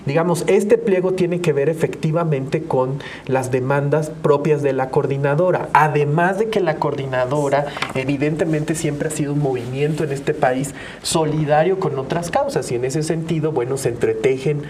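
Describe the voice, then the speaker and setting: A man's voice: fairly high pitch, normal speed, few pauses, darkish tone. Mexican man explaining, with background noise. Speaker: human rights advocate, panel guest. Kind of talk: spoken commentary in a panel discussion. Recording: clear background noise with room ambience.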